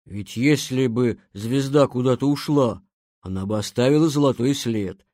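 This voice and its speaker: A woman voicing a gentle, earnest male bear cub in a children's story, naive and thoughtful. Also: a bass voice, normal speed, dry recording, clean studio sound.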